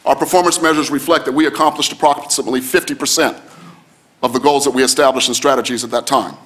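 A man's voice: mid-range voice, steady tone, fast, a bit bright. A man giving formal official testimony at a hearing.

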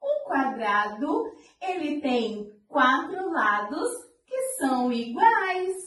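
Brazilian woman teacher, high and lively, slow and warm with kids, boomy room, distant mic. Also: heavy reverb. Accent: Brazilian Portuguese. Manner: slow, lilting and warm toward children. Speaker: preschool teacher. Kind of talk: online preschool lesson. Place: small room with pink walls, seated on the floor. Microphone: far camera.

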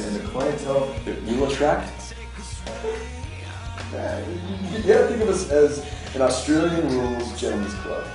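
A man's voice: mid-range voice, lightly acted. Man playing a slick club boss, echoey. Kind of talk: table read of a TV drama. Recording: roomy echo, distant mic, music behind.

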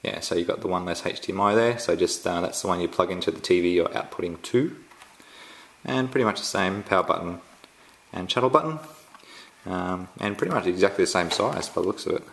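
An Australian man giving a casual unboxing walkthrough at home, halting and full of ums and restarts. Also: sits low, animated, gravelly, a bit bright. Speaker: tech reviewer. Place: indoors at home, filming the box up close.

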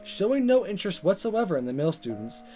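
A man reading visual novel text aloud as a let's player, in expressive narration. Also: high voice, fast. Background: game music.

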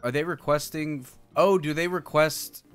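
A man's voice: fairly high pitch, fairly even intonation, moderate pace, clear, resonant tone. A young man giving casual gameplay commentary as a streamer, with jokey, sweary banter. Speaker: video game streamer. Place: indoor streaming setup. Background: game music.

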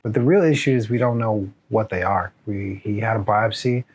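A man's voice: lowish voice, moderate pace, a little mellow, slightly rough. An American man in his forties, a surgeon, speaking in a documentary as narrator and interviewee. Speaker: surgeon, head of a clinic.

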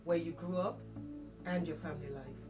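A woman's voice: sits low, steady tone, moderate pace, darkish tone, faint music behind. A woman co-hosting a talk show, asking questions and affirming the guest often in a small studio. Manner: encouraging, frequent affirmations. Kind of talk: casual interview chat.

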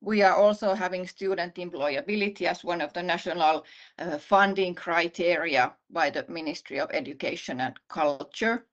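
A middle-aged woman with a Finnish accent gives an online presentation as a university vice-dean, speaking in a measured way with many pauses and restarts. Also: lowish voice. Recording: slightly muffled video call audio.

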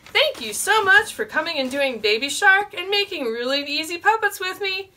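A woman's voice: very high voice, animated, normal speed, bright, forceful tone. A woman in her forties hosting a children's storytime, explaining a simple craft step by step from a small room. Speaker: librarian, storytime host.

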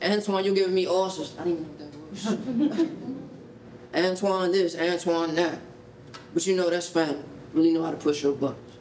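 An actor playing a joking, easygoing young American uncle with a casual, slangy, teasing manner in a staged reading. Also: male voice, fairly high pitch, moderate pace, long pauses, darkish tone, natural voice. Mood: joking, grumbling. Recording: roomy hall, distant mic, faint noise.

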